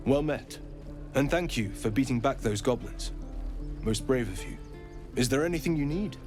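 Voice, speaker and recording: A man's voice: mid-range voice, natural voice. Regretful grove druid, polite, mid voice, male actor.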